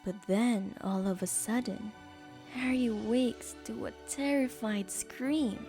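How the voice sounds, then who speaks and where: Female storyteller, highish soft-toned voice, unhurried narration over faint music.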